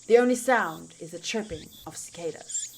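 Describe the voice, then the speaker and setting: A young woman reading visual novel narration aloud as a streamer, expressive and informal, with casual asides. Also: on the low side, moderate pace, gravelly, a little hiss or hum.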